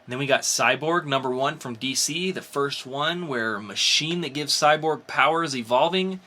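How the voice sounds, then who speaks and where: Bright-voiced man hosting a podcast. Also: mid-range voice, normal speed. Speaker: podcast co-host. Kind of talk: casual comic book review chat. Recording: faint background noise.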